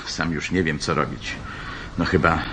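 An actor playing an uneasy, conscience-stricken male engineer in a radio drama, hesitant and halting, repeating himself. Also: very deep voice, steady tone, bright, forceful tone, a little hiss or hum. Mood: troubled, resolute.

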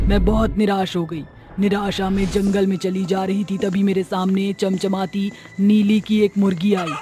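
A woman plays a vengeful cartoon witch ghost. She speaks dramatically and menacingly at a medium pitch, with soft music behind. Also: lightly acted. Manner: dramatic, threatening.

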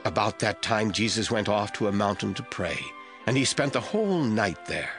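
A man narrating a scripture audio drama from a script in a deliberate storytelling manner. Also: lowish voice, expressive, fairly bright tone. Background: faint music.

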